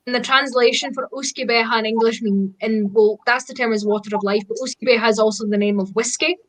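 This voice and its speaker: A woman's voice: fairly high pitch, fairly even intonation, quick pace, fairly bright tone, low-quality recording. A Scottish woman whose first language is Scottish Gaelic, chatting as a guest, laughing often and eagerly explaining words. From Scotland. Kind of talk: casual video call chat.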